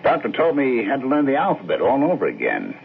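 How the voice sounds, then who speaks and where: A man's voice: mid-range voice, wildly swooping pitch, fast talker, creaky. An actor playing a plain-spoken, easygoing middle-aged American husband and father in a radio drama, folksy and casual. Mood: matter-of-fact. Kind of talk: 1970s radio drama acting. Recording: muffled, narrow-band old radio broadcast, hissy.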